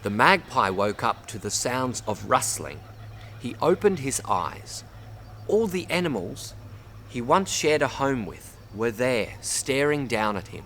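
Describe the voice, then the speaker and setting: Australian male storyteller, lively varied intonation, mid-range pitch, children's read-aloud, noticeable background noise. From Bundaberg, Queensland, Australia. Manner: expressive, addresses young listeners with pauses for repeating. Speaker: storybook reader.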